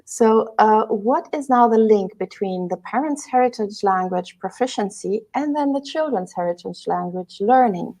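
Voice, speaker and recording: A woman co-hosting a live online interview, introducing the guest and asking questions in a measured way. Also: medium pitch, lively intonation, darkish tone. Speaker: co-host.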